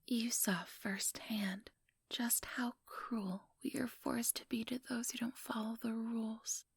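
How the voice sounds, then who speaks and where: Woman acting as female vampire elder, husky whisper, unhurried, condescending, coolly menacing, ASMR roleplay. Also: a little high, fairly even intonation, no echo, full character voice. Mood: taunting, probing. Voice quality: breathy whisper. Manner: slow, deliberate, patronizing.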